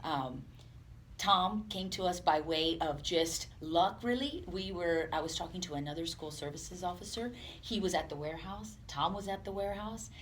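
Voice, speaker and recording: A woman giving unscripted interview answers as a police department representative, speaking earnestly and haltingly. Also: fairly low pitch, fairly bright tone. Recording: clear background room noise.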